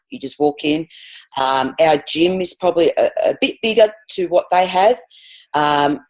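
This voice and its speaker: A woman's voice: deep voice, darkish tone. Chatty Australian woman teacher. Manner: enthusiastic, rambling with many restarts and fillers. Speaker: guest, physical education teacher. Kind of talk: casual podcast interview.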